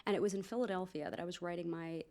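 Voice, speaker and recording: A woman in her thirties from New Jersey with an American accent, a doctor and founder chatting as an interview guest, animated and full of asides. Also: on the low side, very fast. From United States, New Jersey. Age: 30s. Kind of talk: casual interview conversation.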